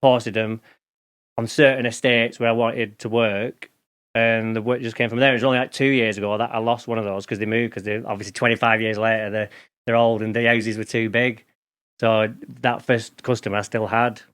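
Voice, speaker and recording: A man's voice: fairly low pitch, little pitch movement, fairly quick, a bit bright, clean tone. Lancashire man telling candid stories. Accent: Lancashire English. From England. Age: 40s. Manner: rambling, candid storytelling with restarts. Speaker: guest. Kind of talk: casual interview chat.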